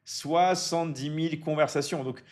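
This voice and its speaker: A man's voice: on the high side, steady tone, moderate pace, darkish tone. A man from France, hosting a business coaching live stream. He speaks casually and repeats himself a lot, full of fillers and hype, and keeps checking in with his viewers.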